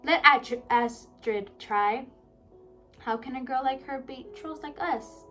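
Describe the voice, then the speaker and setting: A woman's voice: sits high, takes it slowly, bright, forceful tone, soft background music, heavily acted. A woman voicing a greedy, sneering female villain in a children's storybook, talking with a cackle. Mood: bossy.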